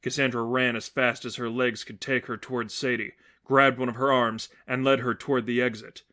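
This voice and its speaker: A man reading a story aloud as dramatic narration, in a measured, earnest, suspenseful manner. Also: medium pitch, little pitch movement, sharp tone. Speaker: narrator reading fan fiction.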